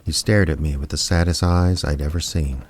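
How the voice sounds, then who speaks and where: A man playing a wry, reluctant small-town amateur detective, narrating and talking in a deadpan way in an audio drama. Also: extremely low pitch, moderate pace, a little hiss or hum. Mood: wry, reflective.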